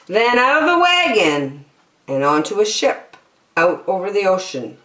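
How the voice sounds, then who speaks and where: A woman storyteller reading a children's storybook expressively, with dramatic, deliberate phrasing. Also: medium pitch, very animated, fairly bright tone, slight background noise. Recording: roomy echo.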